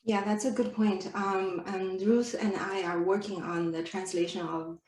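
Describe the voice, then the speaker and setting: A woman's voice: medium pitch, fairly even intonation, measured pace, a little mellow. Hesitant woman moderator in an echoey room. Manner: hesitant, many fillers, polite questions. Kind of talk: panel discussion. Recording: roomy echo.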